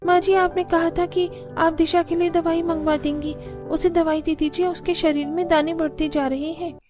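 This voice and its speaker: A woman's voice: extremely high pitch, little pitch movement, normal speed, over music, pitched above the performer's own voice. A woman voicing a plaintive, dutiful young Indian daughter-in-law in a cartoon family story. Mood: pleading. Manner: complaining, pleading. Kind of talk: Hindi cartoon story acting.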